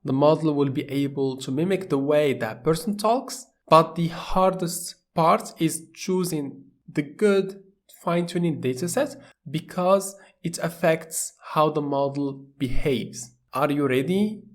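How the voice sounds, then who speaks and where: A man from Morocco, narrating a tutorial course in a plain, steady explaining manner. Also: a little high, unhurried. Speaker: course instructor.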